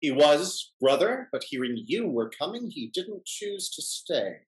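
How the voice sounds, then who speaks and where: A middle-aged male actor playing a smooth-talking, hypocritical gentleman schemer in a theatrical period stage comedy. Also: fairly high pitch, sing-song, measured pace, rough recording. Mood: evasive. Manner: theatrical, emphatic period speech. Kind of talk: Restoration-style stage comedy over video call. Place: indoor room, video call.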